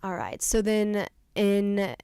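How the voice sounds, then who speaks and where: A young adult woman presenting an informal software tutorial, explaining things casually step by step. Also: medium pitch, animated, takes it slowly, over music. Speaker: tutorial presenter, studio intern.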